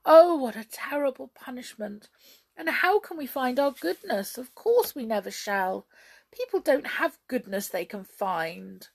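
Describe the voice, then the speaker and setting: A woman's voice: fairly high pitch, very animated, unhurried, creaky, pitched above the performer's own voice, heavily acted. A woman voicing a small, naughty male brownie, frightened and pleading in a wailing children's storybook manner. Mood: miserable.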